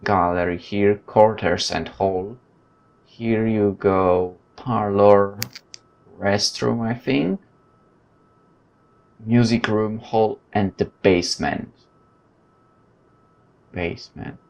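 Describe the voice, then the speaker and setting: A man with a fairly low voice hosts a gaming video. He reads story text aloud and breaks off into informal, animated commentary of his own. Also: drawn-out words, pauses often, dull audio. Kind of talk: let's play commentary, reading game text aloud.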